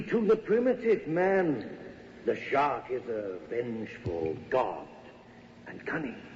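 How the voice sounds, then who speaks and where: An actor playing an older professor in a radio drama, condescending and deliberate, with a quietly menacing edge. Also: male voice, medium pitch. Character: old professor, patronizing, quietly menacing cult believer. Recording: muffled, noisy, reverberant radio drama recording.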